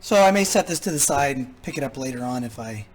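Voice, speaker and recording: A man talking casually and haltingly as he thinks aloud, giving hands-on commentary as a repair vlogger. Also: medium pitch, lively intonation, slight background noise. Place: indoor repair workshop.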